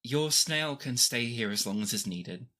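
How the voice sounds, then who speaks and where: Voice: mid-range voice, animated, a little slow, bright, forceful tone. A game master voicing a formal, obliging escort in a tabletop game, polite and matter-of-fact. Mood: courteous.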